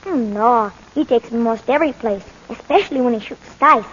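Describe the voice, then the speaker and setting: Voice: medium pitch, moderate pace, a bit bright, lightly acted. A performer playing a streetwise, plain-spoken young boy with slangy speech in a 1940s radio drama. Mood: casual. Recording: muffled, noisy old 1940s radio recording.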